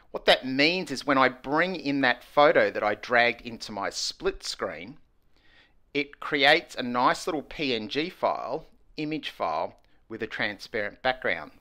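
A man's voice: medium pitch, pushed and bright. A man, explaining a screen tutorial step by step in an upbeat way, recorded indoors on a wired earphone mic. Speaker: educational technology presenter. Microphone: wired earphone headset mic.

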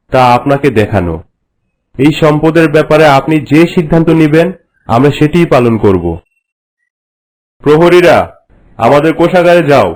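A man's voice: a little high, darkish tone, natural voice, poor audio. A man voicing a dutiful royal minister in a cartoon, speaking formally and with deference. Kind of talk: cartoon voice acting.

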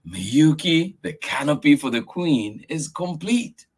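A man voicing a patient, gentle old grandfather who keeps coaxing a child to bed. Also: fairly high pitch, expressive, slow pace, slightly rough, voice pushed up, exaggerated voice. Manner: patient, repeating himself gently.

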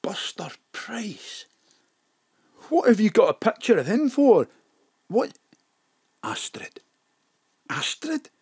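Slow-talking, shifty old gangster. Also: medium pitch, very animated, darkish tone, no echo, clean studio sound, heavily acted. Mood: surprised, warm. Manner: rough slangy criminal talk. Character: wary ageing exiled safecracker gangster, cocky and shifty. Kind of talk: audio drama acting.

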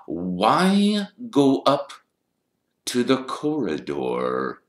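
A man narrator puts on a sly, sneering male teacher in a storybook. He speaks very slowly and deliberately, insinuating guilt, with the room echoing around him. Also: medium pitch, wildly swooping pitch, voice pushed up, exaggerated voice. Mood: sneering, triumphant. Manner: slow, drawn-out, insinuating. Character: cold, sneering male schoolteacher, slyly suspicious.

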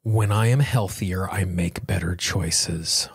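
Low, husky-voiced man hosting a podcast. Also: slow, no room sound, clean studio sound. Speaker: podcast host. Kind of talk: guided self-help tapping affirmations and podcast talk.

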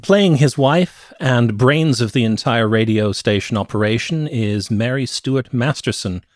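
A man's voice: medium pitch, unhurried, no echo. A middle-aged man co-hosting a conversational movie review podcast, speaking into a close microphone in a small home room. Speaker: podcast co-host. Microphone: close podcast microphone with headset.

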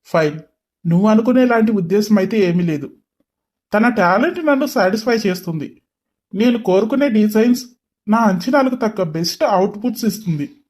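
A man's voice: high voice, animated, fairly quick, a little mellow. Young male boss, acted by a man: arrogant, curt, mocking, short fuse, English phrases mixed into story dialogue. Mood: dismissive.

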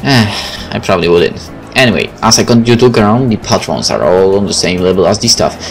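A male gaming host, a native Polish speaker, with a fairly low voice. He reads game text and comments in a casual way. Soft music plays underneath. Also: expressive, quick pace. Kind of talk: game let's play, reading text aloud with casual commentary. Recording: faint game music behind.

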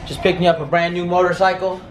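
A man's voice: high voice, level tone, fast, noisy background. A man in his thirties chatting casually and playfully as a family vlogger, recorded on a handheld camera. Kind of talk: casual handheld vlog chat. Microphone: phone or small camera at arm's length. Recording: loud music behind, roomy home video sound.

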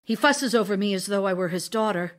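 A woman's voice: medium pitch, fairly quick, lightly acted. A woman playing an ailing, lonely actress wife in an audio drama of love letters, speaking in a theatrical, confiding way. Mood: weary, longing.

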